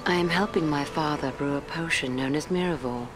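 An actress playing a serene, courteous young elf noblewoman in a fantasy game, speaking in formal, measured phrases. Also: low-pitched, natural voice. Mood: earnest, courteous. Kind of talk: scripted fantasy game dialogue. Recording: loud music behind the voice.

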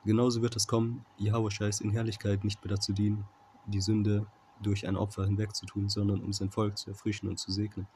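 A man with a fairly low voice teaches scripture informally. His delivery is very flat and halting, and he keeps tagging his points to check that the listener follows. The amateur recording has clear background noise. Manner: halting, often checking with "okay?". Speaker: Bible teacher. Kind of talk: informal Bible commentary with scripture reading.

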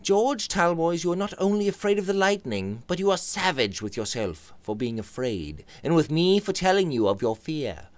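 A man voicing an earnest, persistent young gentleman who presses his friend with questions. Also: fairly high pitch, sing-song, moderate pace, hardly any pauses, no echo, voice pushed up. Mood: insistent. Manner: pressing, fussing.

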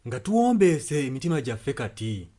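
A man's voice: medium pitch, sing-song, moderate pace, dark tone, a little hiss or hum. A man interpreting a sermon, following the preacher phrase by phrase. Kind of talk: spoken and sung translation of a sermon.

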